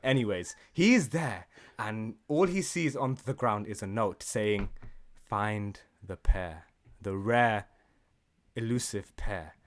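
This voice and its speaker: A man's voice: lowish voice, lively intonation, slow pace. A man co-hosting a gaming podcast, casual, jokey and sweary, improvising and bantering.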